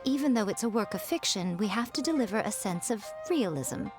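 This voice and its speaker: A woman voicing a sly, teasing fox-spirit woman who runs a publishing house, talking in a smooth, amused, unhurried way, with faint music behind. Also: medium pitch, lively intonation, natural voice. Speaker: editor-in-chief guiding a writer. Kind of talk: video game voice acting. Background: faint game music.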